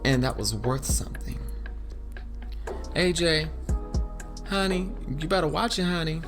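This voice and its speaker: A sassy, lovestruck American woman tells her own story in an audio drama. The voice is mid-pitched, expressive and chatty, full of girlfriend slang, with music playing behind it. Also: measured pace. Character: sassy, lovestruck woman with playful confidence, Topaz. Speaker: narrator and lead character.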